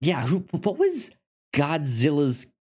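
A man chatting casually as a podcast co-host, halting and loosely phrased, often repeating words. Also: a little high, huge pitch swings, darkish tone, slightly rough. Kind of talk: casual film discussion chat.

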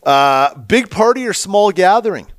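A man hosting a casual interview, chatty and folksy, firing off quick questions and encouraging replies. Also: high voice, expressive, no room sound. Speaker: podcast host.

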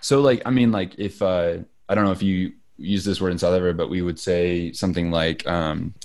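A man's voice: low voice, steady tone, fairly quick, darkish tone. A man from Arkansas, a podcast co-host who talks in a folksy, enthusiastic way in casual chat.

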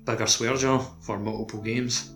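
A man's voice: medium pitch, steady tone, normal speed, a bit bright, roomy sound, faint music behind. A man co-hosting a podcast, chatting casually about video games. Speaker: podcast co-host.

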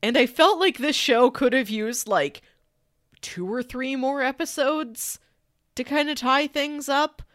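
A woman's voice: sits high, measured pace, fairly bright tone, dry recording, studio quality. A woman co-hosting a podcast in casual, playful, conversational chat. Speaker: podcast co-host.

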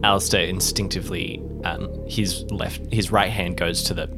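A man playing a tabletop role-playing game, casually and haltingly describing what his character does. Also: low-pitched, fairly even intonation, music in the background. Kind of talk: improvised game narration.

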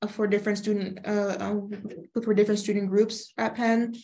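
A woman's voice: mid-range voice, little pitch movement, moderate pace, mellow, a little hiss or hum. A young woman, a student guest in an interview, speaking with many fillers and stuttered repetitions. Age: young adult. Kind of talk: interview answers.

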